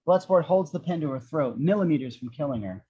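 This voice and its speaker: A man's voice: a little high, animated, a little fast, warm tone, breathy edge, dull audio. A man reading scripted action descriptions as narrator of an amateur table read. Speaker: narrator of stage directions.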